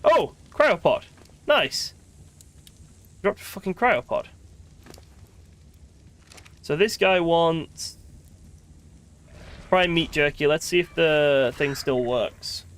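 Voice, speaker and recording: A man's voice: on the high side, lively intonation, takes it slowly, pauses often, fairly bright tone. A man giving casual live gameplay commentary as a streamer, chatty and thinking aloud, with wry, sweary grumbling. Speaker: game streamer.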